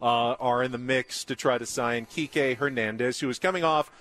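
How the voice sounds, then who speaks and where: American guy hosting sports radio, chatty interview style, medium pitch. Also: moderate pace, fairly bright tone. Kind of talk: conversational sports talk and interviewing. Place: on location at a baseball spring training camp.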